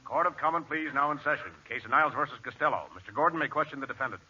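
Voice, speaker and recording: An actor playing a brisk, officious judge with a clipped, official delivery in 1940s radio comedy. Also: male voice, medium pitch, bright, forceful tone, slightly rough. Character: brisk, officious male judge calling court to order. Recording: muffled 1940s radio recording.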